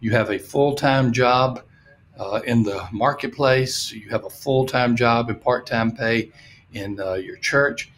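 A man's voice: mid-range voice, a little slow, a bit bright, slight background noise. A man in his sixties co-hosting a podcast, teaching in a conversational way. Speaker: podcast co-host. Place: small room, remote video call.

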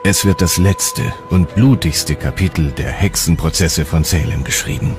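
Man, low voice, documentary narrator, scripted dramatic delivery, clear music bed. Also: normal speed.

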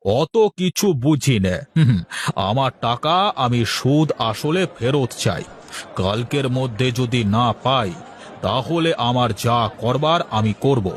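A man's voice: mid-range voice, animated, takes it slowly. A man voicing a curt, demanding village moneylender, condescending and unbending, in a cartoon. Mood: stern, threatening. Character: gruff, grasping male village moneylender, unbending. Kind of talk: cartoon character dialogue.

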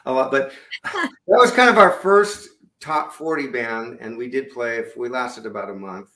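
A middle-aged man with a low voice, chatting loosely about his music over a video call, with many fillers and restarts. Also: expressive, measured pace, bright, forceful tone. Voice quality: lower-range voice. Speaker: musician guest. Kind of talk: casual interview chat. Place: home room indoors. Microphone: video call webcam. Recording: echoey room on webcam.

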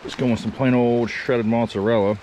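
A man in his thirties talking to the camera while cooking outdoors at a wooded campsite, rambling and easygoing as he thinks out loud. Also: medium pitch, little pitch movement, a little fast, background noise. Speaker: camping cook vlogger.

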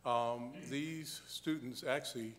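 A man, a university president, giving a brief halting address to a church congregation. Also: medium pitch, steady tone, fairly bright tone. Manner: halting, with many "uh" fillers. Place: large church sanctuary. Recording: reverberant church hall.

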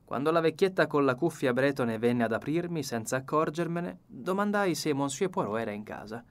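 A man's voice: on the high side, lively intonation, moderate pace. A man reading a novel as an audiobook narrator in scripted literary narration.

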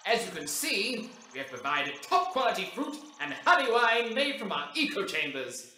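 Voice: mid-range voice, big pitch swings, normal speed, pushed and bright. An actor playing a showy announcer at a science facility in an audio drama, grand and emphatic, in an echoey space. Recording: echoey, faintly noisy announcement.

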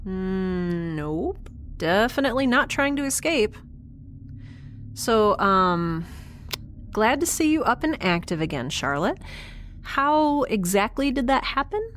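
Actress as quirky chatty woman, anxiously upbeat, lively pitch, wry rambling, space audio drama, faint ambience. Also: slow pace, a little hiss or hum. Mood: nervous. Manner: rambling, wry, self-reassuring. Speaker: lead character. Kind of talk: naturalistic audio drama acting, talking to herself.

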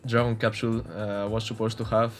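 Man, Spanish accent, young adult, low-pitched, halting commentary, faint music underneath. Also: level tone. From Spain. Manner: hesitant, many fillers and restarts, unsure hedging. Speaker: gaming YouTuber. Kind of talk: casual gameplay commentary.

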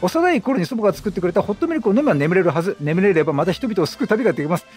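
A man's voice: sits high, animated, rapid-fire, a little mellow, soft background music. A man voicing an earnest, troubled traveling priest from a video game in polite, solemn phrases.

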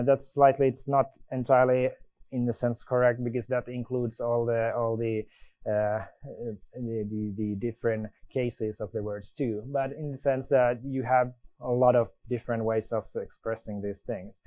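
Finnish man with a dark, halting delivery. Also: mid-range voice, fairly even intonation, no echo. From Finland. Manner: halting, many restarts and fillers. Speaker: conference presenter. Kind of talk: live coding demo talk. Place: conference room.

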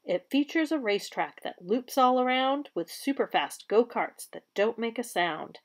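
A woman reading a children's picture book aloud like a teacher, with expressive storybook delivery. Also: fairly high pitch, normal speed.

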